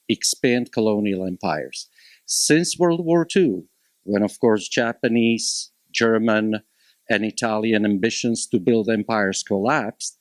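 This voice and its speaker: A man in his fifties from Slovakia with a Slovak accent, speaking as a panelist at a public policy forum, measured and emphatic with frequent hesitations. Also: mid-range voice, dry recording. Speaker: panelist from a local college.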